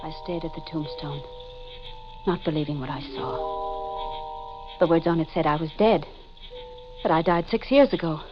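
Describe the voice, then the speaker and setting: A woman's voice: deep voice, normal speed, pauses often, close to an everyday voice. An actress playing a frightened, desperate woman who narrates her own mystery in dramatic 1940s radio style. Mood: tense, haunted. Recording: muffled 1940s radio recording. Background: dramatic music.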